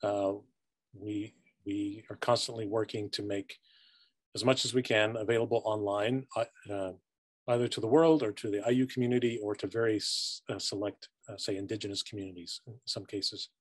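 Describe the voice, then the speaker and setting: A middle-aged man giving a careful, halting answer as a panelist on a video call from his office. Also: on the low side, steady tone, no echo. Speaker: panelist from a university media collections service. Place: office with bookshelves, indoors. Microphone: video call computer mic.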